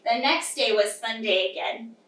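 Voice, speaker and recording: A woman's voice: on the high side, normal speed, fairly bright tone. A young woman teaching a children's yoga storytime, giving simple, encouraging instructions and reading aloud to young children. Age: young adult. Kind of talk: guided kids' yoga lesson with read-aloud storytelling. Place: small indoor room with wooden floor. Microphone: camera across the room. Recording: roomy echo, distant microphone.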